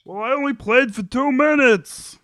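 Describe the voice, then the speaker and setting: A man's voice: very high-pitched, moderate pace, fairly bright tone, no room sound, studio quality. A man playing a whiny, placating husband and teammate in a comic sitcom table read, wry and pleading. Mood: defensive, wry.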